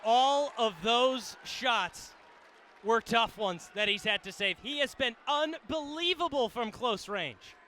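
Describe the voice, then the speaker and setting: A man with a high voice works as color commentator on a live radio sports broadcast. He reacts to and explains the game with clear venue noise behind him. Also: slow, bright, forceful tone.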